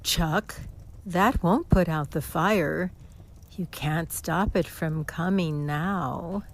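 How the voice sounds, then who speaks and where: Female guardian spirit played by a woman, horror audio drama. Slow, grave, foreboding. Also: fairly low pitch. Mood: reproachful. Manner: slow, reproachful warnings.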